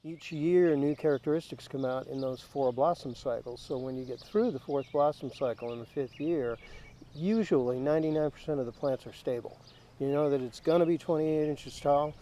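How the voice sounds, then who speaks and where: A man who grows daylilies, explaining step by step in a halting, unhurried way during an interview outdoors. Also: a little high, warm tone. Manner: halting, with fillers and restarts. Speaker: daylily grower. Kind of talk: casual explanatory interview answers. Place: outdoor garden.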